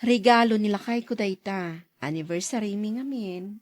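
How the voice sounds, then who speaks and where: A woman's voice: mid-range voice, moderate pace, darkish tone, no room sound, close to an everyday voice. A woman playing a good-natured, chatty married school coworker in a Philippine radio drama. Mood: persuasive.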